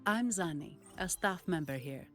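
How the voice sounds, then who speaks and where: A businesslike female bank staffer in a video game. Her voice is on the low side, polite and restrained, with formal phrasing. Music sits behind it. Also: expressive, normal speed, a bit bright. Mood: polite, composed. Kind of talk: scripted game dialogue. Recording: background music under voice.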